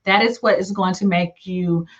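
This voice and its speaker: A woman's voice: on the low side, steady tone, normal speed. A middle-aged woman with a Southern US country accent, chatting casually and encouragingly as a livestream host, with folksy, colloquial phrasing. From southern United States. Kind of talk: casual chat reading live comments. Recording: dull, lo-fi livestream audio.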